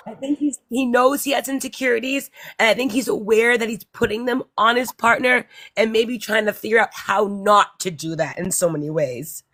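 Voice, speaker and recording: A woman caller with a raspy voice, just awake, rambling and self-deprecating as she talks. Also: a little high, steady tone, normal speed, hardly any pauses, fairly bright tone. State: just woken up. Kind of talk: call-in conversation.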